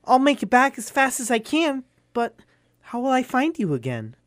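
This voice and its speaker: A woman's voice: sits high, very animated, moderate pace, no echo, studio quality. A woman playing an earnest, polite, wide-eyed young boy sidekick in a comic audio drama. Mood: worried, curious.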